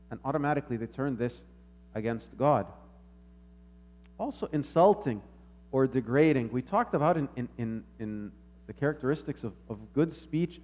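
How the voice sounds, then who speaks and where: A man's voice: on the high side, darkish tone. A man delivering an explanatory church sermon, thinking aloud and often repeating words as he goes. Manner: halting, reflective, repeats words while explaining. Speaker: preacher. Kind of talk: sermon, explanatory and semi-extemporaneous.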